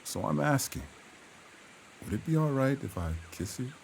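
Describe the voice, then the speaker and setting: Earnest castaway man, expressive and gentle. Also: medium pitch, moderate pace, vocal fry, a little hiss or hum, rough recording. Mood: tender, earnest. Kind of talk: period audio drama acting.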